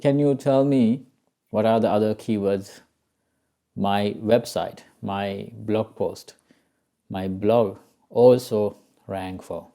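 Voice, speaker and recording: Slow, mellow Sri Lankan man teaching. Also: on the low side, fairly even intonation, creaky. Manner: frequently checks in with "right?" and "okay?". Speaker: SEO tutorial host. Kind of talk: informal step-by-step screen tutorial.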